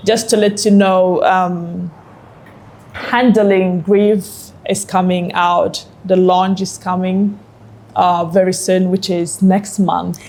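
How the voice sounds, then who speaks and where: A woman's voice: lowish voice, little pitch movement, slow pace, a little mellow. A woman, hosting a conversational interview. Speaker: interview host.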